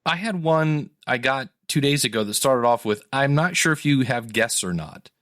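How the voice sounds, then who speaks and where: A man's voice: medium pitch, expressive, moderate pace, a bit bright. A man casually hosting a podcast and chatting with contributors. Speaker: podcast host.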